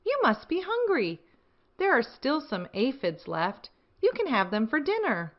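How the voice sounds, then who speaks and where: Sweet little ladybug acted by a woman, high voice, kindly, generous, welcoming, storybook character. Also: huge pitch swings, measured pace, dull audio, heavily acted.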